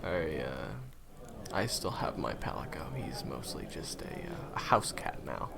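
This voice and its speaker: A man playing a gentle, humble ex-hunter turned guide in an audio roleplay, speaking hesitantly and softly with frequent pauses. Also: rumbling low, big pitch swings, vocal fry, over a noisy crowd, exaggerated voice. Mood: friendly, wry. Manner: hesitant, soft-spoken, halting with pauses. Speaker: monster hunter's handler in a fan audio roleplay. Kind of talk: intimate conversational audio roleplay acting.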